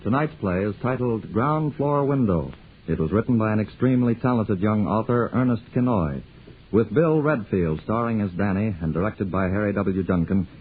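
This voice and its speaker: A man's voice: lowish voice, a little hiss or hum. Polished 1940s radio announcer, man. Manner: polished, measured. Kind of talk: formal 1940s network announcing. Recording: dull 1940s radio broadcast with music.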